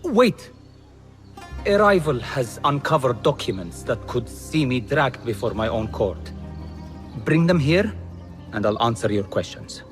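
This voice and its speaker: A man's voice: medium pitch, big pitch swings, a little slow. An actor playing a greedy, self-important male court official in a video game, haughty and sly. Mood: wheedling, curt. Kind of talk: cinematic video game acting. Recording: music behind the voice. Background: music.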